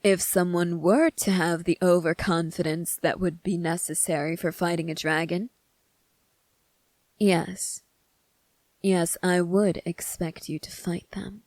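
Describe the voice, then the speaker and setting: Woman as a low-voiced, smug young prince. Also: fairly even intonation, a little mellow, no echo, natural voice. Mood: smug, composed. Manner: measured, smug, formal, teasing delivery. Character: cunning, self-assured young male prince bargaining with a dragon. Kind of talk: ASMR roleplay acting.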